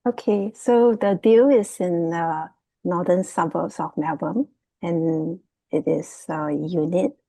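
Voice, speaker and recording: A Singaporean woman with a husky, fairly low voice gives an informal presentation. The sound is dry and close, and her delivery is hesitant and loosely phrased. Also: steady tone, takes it slowly, warm tone, no echo, rough recording. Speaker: guest, property investment student. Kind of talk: casual unscripted presentation. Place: remote video call.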